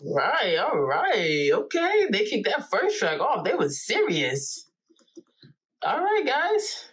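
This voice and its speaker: A woman's voice: medium pitch, slow, slightly rough. A young woman from New Jersey who hosts music reaction videos. She chats casually and with animation from a small bedroom, recorded on a close camera. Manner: animated, chatty, hums and sings along.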